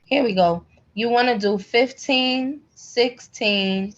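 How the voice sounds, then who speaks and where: A woman's voice: fairly high pitch, slow. A woman teaching a live spiritual healing class in a direct, emphatic, colloquial way. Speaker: class instructor, pendulum energy healer. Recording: slightly dull, lo-fi call audio.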